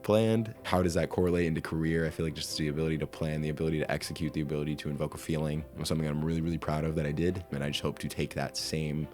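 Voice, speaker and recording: A man's voice: rumbling low, level tone, very fast, hardly any pauses, a little mellow, soft background music. A twenty-year-old man answering interview questions about himself in a casual, candid, self-deprecating way.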